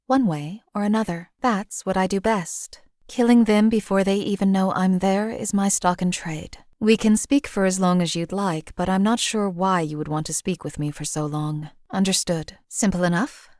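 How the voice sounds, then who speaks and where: A woman's voice: medium pitch, darkish tone, no echo, lightly acted. A woman playing a composed female assassin for hire in a video game, speaking in a dry, matter-of-fact way. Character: cool, lethal female assassin companion for hire. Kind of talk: video game companion voice lines.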